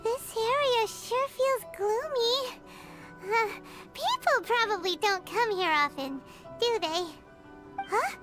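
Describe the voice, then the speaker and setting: A woman voicing a tiny, childlike female companion sprite in a video game, cheeky and blunt, with a cutesy squeaky voice. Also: lively intonation, takes it slowly, full character voice. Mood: curious, puzzled. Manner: cutesy, refers to self in third person. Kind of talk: scripted game cutscene dialogue. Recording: prominent music behind the dialogue. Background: game music.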